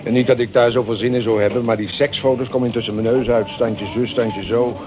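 A man's voice: mid-range voice, fairly even intonation, fast talker, highs cut off, over music, natural voice. An actor playing a laid-back, slightly shady young man in a Dutch radio drama, talking in a casual, hesitant, colloquial way. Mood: casual, offhand.

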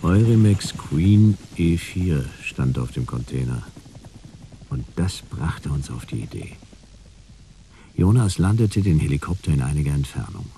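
A man's voice: very deep voice, lively intonation, a little slow, warm tone, a little hiss or hum. An actor playing a laconic detective who narrates his case in a dry, wry way in a radio play. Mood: matter-of-fact. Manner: dry, wry, laid-back. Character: laconic hard-boiled detective telling his own case.

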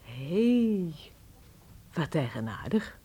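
A woman playing a lively, curious housekeeper in a children's radio play, with an animated, expressive delivery. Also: low-pitched, very slow, husky, exaggerated voice. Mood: curious, chatty.

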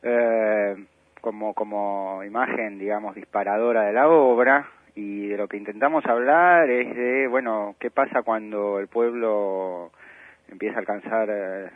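A man's voice: fairly low pitch, fairly even intonation, unhurried, dry recording. A man with an Argentine accent explaining conversationally in a phone interview, searching for words and repeating himself. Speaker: theatre director, interview guest. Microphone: telephone. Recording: thin, narrow-band telephone line.

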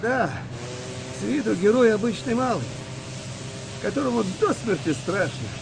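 An actor playing a seasoned, philosophical British sailor in a Second World War audio play, talking in a colloquial, reflective way. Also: male voice, high voice, expressive, normal speed, over music, rough recording. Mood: somber, musing. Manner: colloquial, rough-spoken, reflective.

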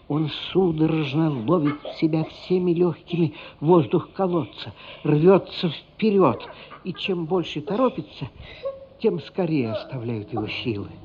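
An actor playing a grave storyteller, narrating a radio play with deliberate, theatrical, suspenseful phrasing. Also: male voice, a little high, background noise, lightly acted. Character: grave, dramatic storyteller of a childhood tale. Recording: muffled radio drama recording.

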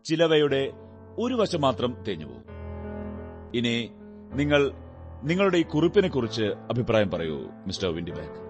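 A shrewd, composed detective in a radio play. His mid-pitched voice swings expressively through deliberate, theatrical pauses, methodical and quietly triumphant. Music sits under the voice. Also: huge pitch swings. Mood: analytical. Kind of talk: radio drama acting.

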